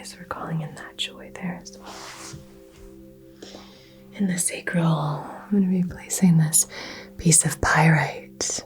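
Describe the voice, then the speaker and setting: Soft slow whisper lady from the south, low voice, asmr crystal healing. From American South.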